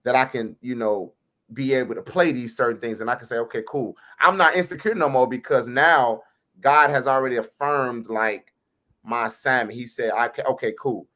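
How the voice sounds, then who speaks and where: A man's voice: medium pitch, a bit bright. A man who plays music at church, speaking earnestly on a panel and acting out encouraging quotes. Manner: earnest, quotes others in his telling, quick bursts. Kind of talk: casual panel chat.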